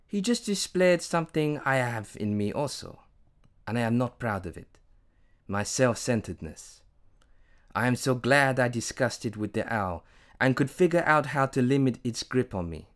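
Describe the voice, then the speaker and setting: A man's voice: medium pitch, lively intonation, moderate pace, natural voice. A man voicing an earnest, self-doubting male eagle in a storybook, speaking in a hesitant, confiding way. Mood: confessing, ashamed.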